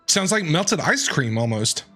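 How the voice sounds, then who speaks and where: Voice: medium pitch, sing-song, a little slow, over music. A streamer giving casual, playful, informal live commentary while reacting to a video game.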